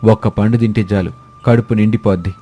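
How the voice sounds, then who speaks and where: A man's voice: fairly low pitch, steady tone, fairly quick, warm tone, soft background music, natural voice. A man voicing a kind, humble, helpful village man in a moral-story cartoon. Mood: cheerful.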